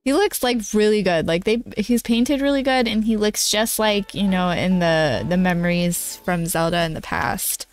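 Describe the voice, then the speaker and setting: A woman's voice: medium pitch, moderate pace, hardly any pauses, clean tone. A young woman with a nasal, congested voice, chatting in a bubbly, giggly way with viewers while streaming a game. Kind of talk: casual live-stream chat while gaming.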